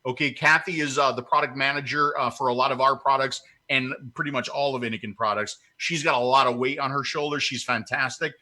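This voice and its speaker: A man of fifty hosting a casual show, joking and animated. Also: medium pitch, fairly quick, few pauses. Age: 50s. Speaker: host.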